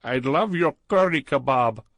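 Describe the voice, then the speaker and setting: A man voicing a flirtatious, pompous middle-aged male hotel clerk with a put-on Indian accent, in a high, sing-song, overly formal way. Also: expressive, unhurried, a bit bright, no room sound. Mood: leering. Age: 40s.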